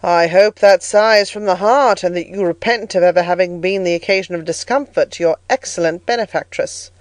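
A woman voicing a solemn, stern male clergyman who speaks slowly and preachily in an audiobook story. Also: no room sound, natural voice. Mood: grave, reproving. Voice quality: bass. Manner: solemn, slow, sermonizing. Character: solemn, stern, harsh elderly clergyman interrogating a child.

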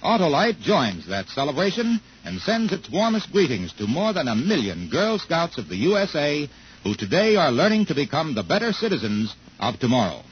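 High-pitched American radio announcer man. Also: big pitch swings, moderate pace, a little hiss or hum. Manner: emphatic, polished, salesmanlike. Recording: muffled old radio broadcast recording.

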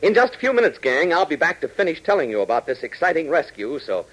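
Vintage radio announcer: American male, dramatic scripted narration, very lively intonation, rough-edged husky tone. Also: on the high side, quick pace, poor audio. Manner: emphatic, dramatic announcer delivery. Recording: old 1940s radio broadcast.

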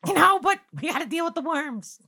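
A woman's voice: very high voice, animated, a little fast, vocal fry, pushed and bright, full character voice. A woman voicing a blunt, impatient little goblin adventurer in a tabletop game, talking informally and pushing for answers. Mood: impatient, pleading. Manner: blunt, informal, pushy questioning.

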